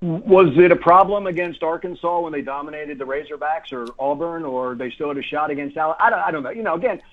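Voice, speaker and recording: A male sports announcer speaks as a radio guest in a fairly high voice. He is conversational and emphatic, often repeating a phrase for stress. The line sounds somewhat dull and lo-fi. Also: fast talker. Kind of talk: sports talk radio interview.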